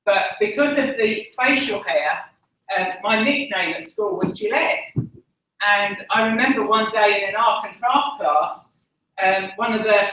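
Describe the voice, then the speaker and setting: Bright-voiced Essex woman in an echoey hall. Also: mid-range voice, unhurried, far from the mic. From Essex, England. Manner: candid and earnest, with frequent ums and self-deprecating asides. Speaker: conference speaker. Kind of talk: personal testimony speech. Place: large conference room.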